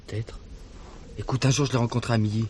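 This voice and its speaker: An actor playing a slangy, resigned young village sidekick in a French drama, with clipped colloquial speech. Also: male voice, mid-range voice, steady tone, quick pace, darkish tone, a little hiss or hum, close to an everyday voice. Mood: resigned, questioning.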